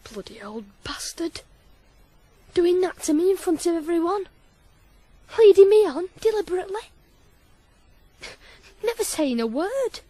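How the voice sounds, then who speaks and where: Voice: extremely high pitch, sing-song, unhurried, long pauses, warm tone, dry recording, full character voice. A performer playing a resentful, stubborn teenage runaway boy with a Northern English accent, blunt and hot-headed in a radio drama. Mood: bitter.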